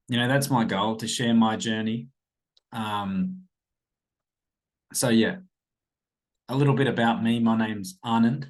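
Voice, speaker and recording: An Australian man in his thirties hosting a podcast, talking about his own life in a rambling, hesitant way, with lots of ums and self-corrections. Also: medium pitch. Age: 30s. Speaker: podcast host. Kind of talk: rambling personal monologue.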